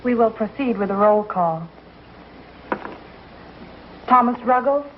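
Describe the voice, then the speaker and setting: An actress playing a prim, gentle young schoolteacher in a 1950s television western, speaking politely and precisely. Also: female voice, a little high, lively intonation, takes it slowly, slight background noise. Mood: firm, composed. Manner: polite, proper, precise classroom diction. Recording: muffled old 1950s television soundtrack, some music.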